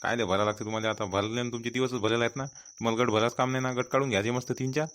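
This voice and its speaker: Man playing a fast-talking, cheeky village borrower. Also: mid-range voice, steady tone, a bit bright, close to an everyday voice. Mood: cheeky, defiant. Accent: Vidarbha Marathi. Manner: argumentative, teasing. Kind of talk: comic cartoon dialogue.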